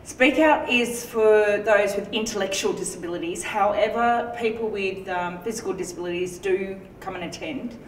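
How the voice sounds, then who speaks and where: A woman's voice: mid-range voice, normal speed, hardly any pauses, slight background noise. Young Australian woman, distant echoey room. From northern Tasmania, Australia. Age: 20s. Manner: hesitant, many ums. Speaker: advocacy group president.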